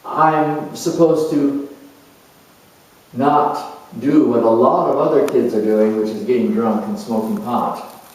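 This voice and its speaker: A man acts out a young man weighed down by life and resentful of his father. His monologue moves slowly and haltingly, with a world-weary edge. The voice sits at some distance from the mic in a heavily reverberant room. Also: medium pitch, steady tone, a little mellow, natural voice. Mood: resigned, resentful. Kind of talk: dramatized monologue.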